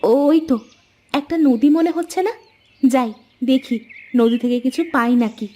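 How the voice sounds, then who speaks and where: High-voiced devoted young sister. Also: female voice, a little slow, dark tone. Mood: anxious, searching. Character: devoted young village wife, one of three brave sisters. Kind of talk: Bengali moral-tale cartoon dubbing. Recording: music under the voice.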